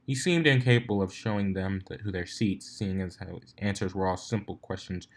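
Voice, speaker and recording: A man's voice: deep voice, fairly quick. A man reading a scripted story aloud as a fan fiction narrator.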